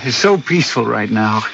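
A man's voice: medium pitch, gravelly, heavy background noise. An actor playing a thoughtful, dreamy spaceship crewman, earnest and reflective, in a radio drama. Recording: dull radio recording, loud music behind.

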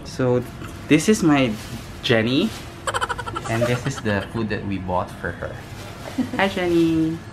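A woman's voice: rumbling low, expressive, slow, long pauses. A woman from the Philippines, vlogging casually and chatting to the camera on a handheld phone. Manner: chatty, giggly, addresses the camera. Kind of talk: casual vlog narration. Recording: loud background noise, passing vehicles.

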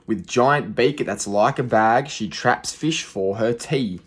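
A young male teacher reading a rhyming picture book aloud to young children, in a rhythmic, expressive way, seated in a small room and recorded on a phone close in front. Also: medium pitch, slow pace. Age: young adult.

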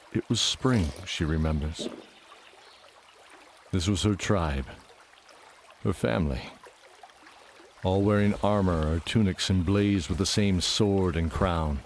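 A man narrating a scripted fantasy drama in a measured, deliberate, dramatic way. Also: low voice, a little hiss or hum.

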